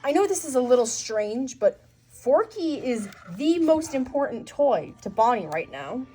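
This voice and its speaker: A woman's voice: high voice, very animated, measured pace, dark tone, faint music behind. A woman voicing an earnest, persuasive male toy cowboy in a children's storybook.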